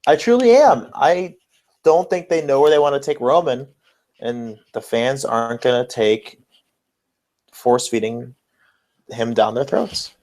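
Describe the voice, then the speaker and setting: A man's voice: mid-range voice, measured pace, long pauses, darkish tone. A man co-hosting a podcast, casually discussing wrestling in informal, slangy language with some swearing. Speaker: podcast co-host.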